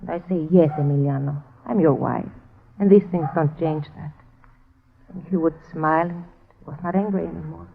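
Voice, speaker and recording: A woman playing a guarded young Nicaraguan widow in an old radio drama, speaking carefully and haltingly. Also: low voice, fairly even intonation, gravelly, a little hiss or hum. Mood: wistful. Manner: simple, careful, halting phrasing. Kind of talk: 1940s-50s radio drama acting. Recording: tinny, narrow old radio recording.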